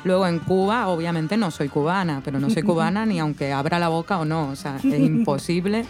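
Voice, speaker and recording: A woman in her thirties from Cádiz, with a mixed Andalusian Spanish accent, chatting and telling stories in a lively way. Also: on the low side, fairly quick, over loud music. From Spain (Cádiz). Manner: chatty, animated storytelling with frequent "¿no?". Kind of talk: casual conversation.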